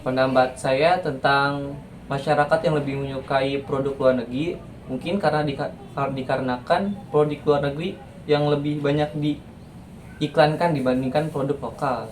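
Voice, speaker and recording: An eighteen-year-old young man giving brief, measured answers in an interview. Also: mid-range voice, fairly even intonation, slight background noise. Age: late teens. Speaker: interviewee, student. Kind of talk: casual spoken answers.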